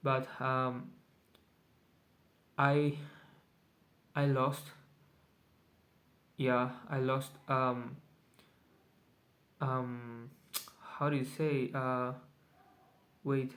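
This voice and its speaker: A man whose first language is Spanish, keeping a casual video journal in English, hesitant and often pausing to search for words. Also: medium pitch, flat delivery, fairly bright tone. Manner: very slow, halting speech. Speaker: vlogger.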